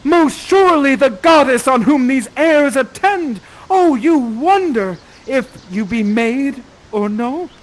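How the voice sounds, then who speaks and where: A man voicing a grieving, lovestruck young nobleman, declaiming verse in a high, loud voice. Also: expressive, takes it slowly, hardly any pauses, heavily acted, poor audio. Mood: awestruck.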